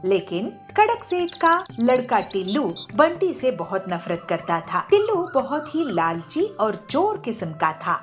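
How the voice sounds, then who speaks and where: A woman's voice: on the high side, sing-song, a little slow, hardly any pauses, faint music behind. A woman reading scripted narration for a children's cartoon moral story.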